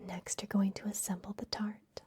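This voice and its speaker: A woman whispering gently and unhurriedly in a breathy voice, playing a kindly vampire librarian in an ASMR fantasy roleplay. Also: mid-range voice, level tone, normal speed, a little hiss or hum. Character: kindly vampire librarian hosting a human guest. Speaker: ASMR performer.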